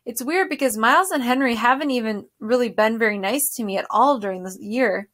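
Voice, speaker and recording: A woman's voice: fairly high pitch, brisk, a bit bright. Earnest young boy, voiced by a woman reader. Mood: curious.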